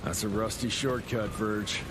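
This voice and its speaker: A man playing a gruff, impatient action hero in a video game, terse and dryly sardonic, with loud music behind him. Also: lowish voice, steady tone, a little slow, noisy background, lightly acted. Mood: dry, wry. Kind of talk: video game cutscene acting.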